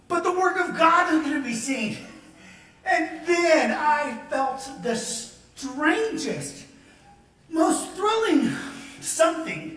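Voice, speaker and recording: An ecstatic, tearful young man who has just seen the world for the first time, as a woman acts him out in an old radio play. The voice sits very high and moves at an unhurried pace. The sound is cavernous and heard from well away from the mic. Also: fairly bright tone, exaggerated voice. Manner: breathless, tearful, ecstatic delivery. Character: young man, born blind, newly sighted, joyful and earnest. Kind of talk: old radio drama acting. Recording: echoey, distant old radio recording.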